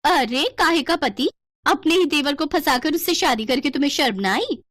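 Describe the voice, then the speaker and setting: Woman as a shrill, scornful young city bride. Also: expressive, moderate pace, fairly bright tone, no room sound, studio quality. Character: sharp-tongued modern young city bride, jealous and scornful.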